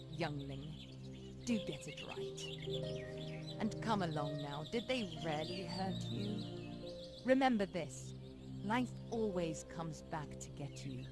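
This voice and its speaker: A woman playing an ancient noble vampire in a game, imperious and mocking, with a deliberate, sardonic delivery. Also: low-pitched. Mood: condescending. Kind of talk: dramatic RPG voice acting.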